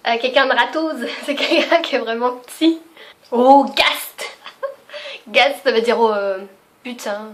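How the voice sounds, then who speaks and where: Chatty young woman, high voice. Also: unhurried, a bit bright, slight background noise. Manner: chatty and spontaneous, laughs often, many fillers. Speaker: vlogger. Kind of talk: casual unscripted video chat.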